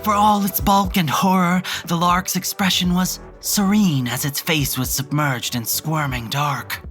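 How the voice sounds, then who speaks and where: A woman narrating a horror audio drama in a measured, literary storytelling manner. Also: fairly low pitch, steady tone, fairly bright tone. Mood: ominous. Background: music.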